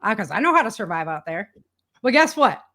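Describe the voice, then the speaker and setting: A woman's voice: medium pitch, big pitch swings, fairly quick, bright, forceful tone. Emphatic woman in casual, colloquial conversation. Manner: colloquial and emphatic, acts out quoted remarks. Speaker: interview guest, author and podcaster on survival prepping.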